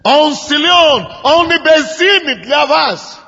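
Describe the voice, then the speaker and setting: Impassioned preacher in a dull, echoing hall. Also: male voice, very high voice, lively intonation, slow pace. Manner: impassioned preaching, swings from shouting to quiet. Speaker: pastor. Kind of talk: sermon. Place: large church hall. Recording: dull sermon recording in a reverberant hall.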